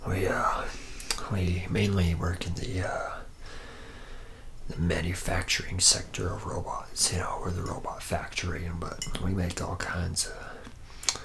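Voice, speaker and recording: Male actor as boozy womanizing factory mechanic, very deep and flat in pitch, loose, laid-back ASMR roleplay delivery. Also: unhurried, creaky, exaggerated voice. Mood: rambling, casual. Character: charismatic, drunken, hard-living womanizing senior robot mechanic.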